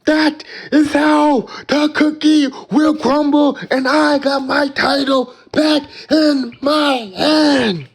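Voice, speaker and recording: Gravelly high-voiced man, slow boastful taunts. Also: fairly even intonation. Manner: drawn-out dramatic chanting taunts; boastful, calls opponents noobs. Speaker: gamer commentating own match. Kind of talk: hyped trash-talk commentary.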